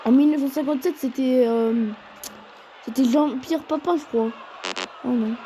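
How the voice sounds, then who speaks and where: A young man from Portugal commentating his own football quiz game, excitable and prone to shouting and swearing at opponents. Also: extremely high pitch, normal speed, a little hiss or hum, low-quality recording. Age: teens. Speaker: gaming youtuber.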